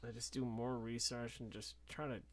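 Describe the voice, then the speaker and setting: A man's voice: mid-range voice, flat delivery, moderate pace. A man chatting casually in a rambling, self-deprecating way, swearing freely. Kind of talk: casual chat.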